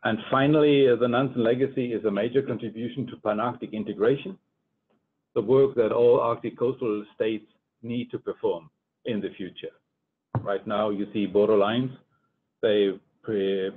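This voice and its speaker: An older man from Germany gives a research presentation in English as a scientist, halting and deliberate. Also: breathy edge. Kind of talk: conference presentation. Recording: muffled phone-like line, rumbling noise.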